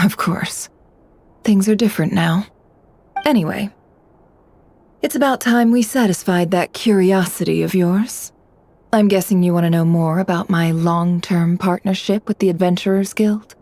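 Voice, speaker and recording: A woman's voice: fairly low pitch, a little slow, darkish tone, dry recording. A woman playing a poised, shrewd young lady who deals in information, composed and knowing, in a video game. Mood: reflective. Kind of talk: English video game voice acting.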